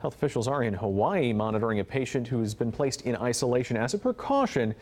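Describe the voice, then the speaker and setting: American male news anchor, medium pitch. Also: very animated, quick pace, darkish tone. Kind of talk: broadcast news reading with casual co-anchor banter.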